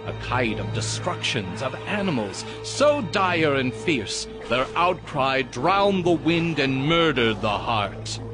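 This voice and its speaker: A man narrating a dramatized audiobook in a theatrical, emphatic way, savoring each phrase. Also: mid-range voice. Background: prominent music.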